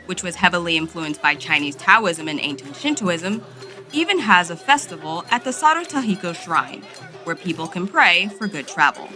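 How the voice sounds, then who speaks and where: A woman's voice: fairly low pitch, pushed and bright. A woman voicing an aloof, sardonic wise monkey who lectures, in an audio drama.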